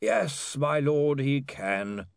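A man voicing a gloomy, deferential legal adviser in an audiobook, speaking in a measured, reluctant and formal way. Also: medium pitch, very animated, creaky, no echo, studio quality, pitched above the performer's own voice, heavily acted. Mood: resigned.

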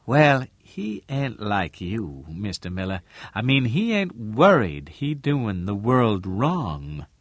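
Man voicing an earnest, halting woman, pitched up and swooping. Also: big pitch swings, no room sound, exaggerated voice. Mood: wary, frank. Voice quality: low, mellow. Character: earnest, shrewd, hesitant woman real estate agent.

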